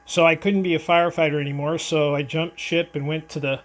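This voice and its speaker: A man's voice: fairly high pitch, flat delivery, brisk. An older American man chatting casually over ham radio in an easygoing, rambling, hesitant way with callsigns and handovers. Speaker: amateur radio operator. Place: indoors at his radio, filming it.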